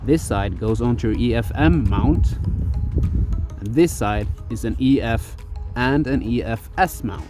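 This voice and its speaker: A man with a Norwegian accent, speaking English as a second language, walks through camera gear in a careful, deliberate way, picking each word. His voice is captured on a phone, with clear background music running under it. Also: mid-range voice. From Norway. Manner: careful, step-by-step explaining with deliberate word choice. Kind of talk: explanatory tech vlog.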